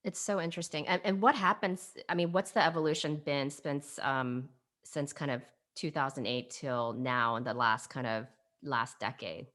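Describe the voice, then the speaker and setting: A woman's voice: very deep voice, moderate pace, fairly bright tone. A woman hosting an interview, introducing and putting questions to a guest. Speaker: interview host.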